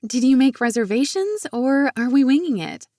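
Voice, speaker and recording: A woman's voice: sits high, very animated, normal speed, warm tone, no echo, clean studio sound, voice pushed up. A woman voicing a bubbly, teasing young woman in audiobook character dialogue. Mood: excited, teasing. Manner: exclamatory, teasing. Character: bubbly, playful young woman out with her best friend.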